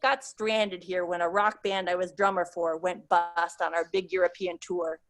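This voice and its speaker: A woman's voice: fairly low pitch, little pitch movement, normal speed, fairly bright tone, lightly acted. A woman reading the lines of a friendly American male bartender in his thirties, chatty and a little cagey.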